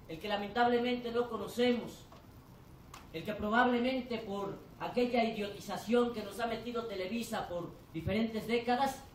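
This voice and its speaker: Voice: medium pitch, a little hiss or hum. Impassioned teacher, Mexican accent, echoey hall. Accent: Mexican Spanish. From Chiapas, Mexico. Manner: declamatory, building rhetorical lists. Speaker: teacher and union delegate. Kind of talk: impassioned forum speech. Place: large echoey lecture hall. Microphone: distant from the recording mic. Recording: echoey hall recorded from far off.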